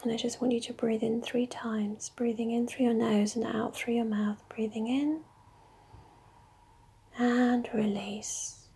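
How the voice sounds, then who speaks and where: A woman leading a guided meditation in a slow, soft, mostly whispered voice. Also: on the high side, steady tone, creaky, slightly rough.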